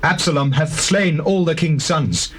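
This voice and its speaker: Panicked bible messenger, kinda high and husky, urgent dramatic delivery. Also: male voice, unhurried, a bit bright. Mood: alarmed. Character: alarmed messenger bringing dreadful tidings to a king.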